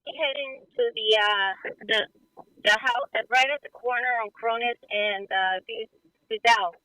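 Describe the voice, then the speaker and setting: A woman heard over a radio or phone link, giving halting live updates on a suspect's location. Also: fairly high pitch, steady tone, sharp tone, dry recording, low-quality recording. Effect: phone or radio transmission.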